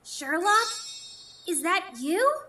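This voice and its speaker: A woman's voice: extremely high pitch, very animated, slow pace, pushed and bright, slight background noise, full character voice. A woman playing a flighty, ageless fairy in a radio drama, teasing and childish in manner. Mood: shocked, curious. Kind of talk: live radio drama acting. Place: performance space in front of a live audience.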